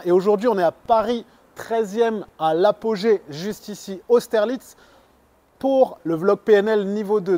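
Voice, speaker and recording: A man's voice: sits high, mellow. A young man chatting casually for a vlog, teasing a friend and laughing, recorded on a handheld phone. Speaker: vlog co-host, coaching trainee. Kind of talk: joking casual chat.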